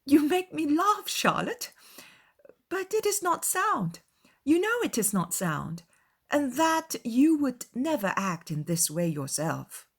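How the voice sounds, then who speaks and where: A woman playing a witty, spirited young gentlewoman with a wry manner in a dramatized audiobook. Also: sits high, very animated, slow, voice pushed up, exaggerated voice. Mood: amused.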